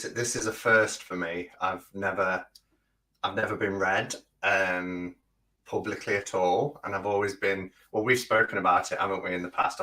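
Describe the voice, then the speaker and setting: A man's voice: low voice, bright, forceful tone, poor audio. A man speaking as a guest on a live stream, chatty and informal. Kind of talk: casual live-stream chat.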